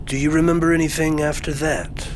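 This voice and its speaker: A woman playing a gentle, measured professor and guardian in a science fiction audio drama. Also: low voice, flat delivery, slight background noise, lightly acted. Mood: careful, concerned.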